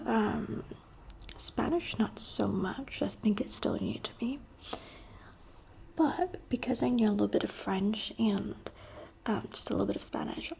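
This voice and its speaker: Young woman ASMR creator from the UAE, soft unvoiced whisper, chatty and candid, faint hiss behind. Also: on the high side, creaky.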